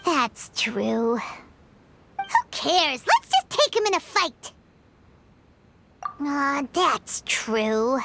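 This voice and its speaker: A woman voicing a tiny, excitable, loyal floating companion with a squeaky childlike voice in a video game. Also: very slow, long pauses, noisy background, full character voice. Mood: brainstorming. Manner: eager, refers to self by name. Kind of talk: English video game voice acting.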